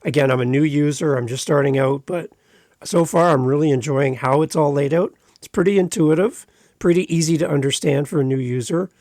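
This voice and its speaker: Upbeat male podcast host, fairly high voice. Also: a little fast, darkish tone, no room sound, studio quality. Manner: upbeat, promotional. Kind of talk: enthusiastic podcast hosting.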